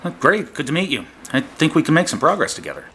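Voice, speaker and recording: A man's voice: a little high, sing-song, fast, pushed and bright, slight background noise. A man acting an easygoing, polite, understated agent in an amateur radio drama.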